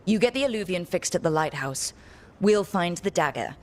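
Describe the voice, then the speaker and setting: An actress voicing a steady, resolute heroine who leads a team in a fantasy game, firm and rallying. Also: female voice, on the low side, moderate pace, lightly acted. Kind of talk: fantasy video game voice acting.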